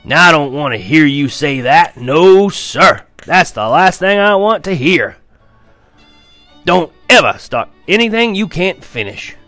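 A man doing a booming old grandpa. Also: animated, unhurried, pushed and bright, dry recording, soft background music. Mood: hearty. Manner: hearty, booming, gruff.

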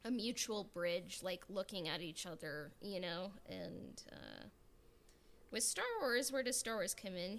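A woman co-hosting a podcast in a reflective, casual way, haltingly searching for her words. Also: fairly low pitch, a bit bright, slight background noise.

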